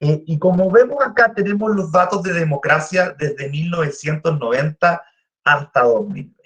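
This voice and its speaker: A man from Chile with a Chilean Spanish accent, giving a guest lecture over a video call, speaking slowly and deliberately with frequent "eh" fillers. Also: fairly high pitch, expressive, fairly bright tone. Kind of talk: online academic lecture.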